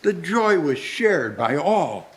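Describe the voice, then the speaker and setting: An old miser, played by a man in a live stage radio drama, wistful and excitable. The voice is fairly high and very animated, exclaiming with feeling. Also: slow, rough recording. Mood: fond nostalgia. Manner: emotional, exclamatory. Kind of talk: live radio play acting.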